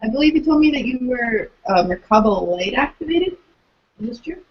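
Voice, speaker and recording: A woman's voice: fairly high pitch, animated, moderate pace, breathy edge, dull audio. A woman hosting a casual podcast interview, putting questions to a guest in a conversational way.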